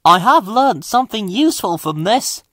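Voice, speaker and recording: A man's voice: high voice, very animated, normal speed, pushed and bright, dry recording, clean studio sound, pitched above the performer's own voice, full character voice. A man voicing a cheerful but nervous little steam engine in a storybook, eager and easily frightened. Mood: grateful.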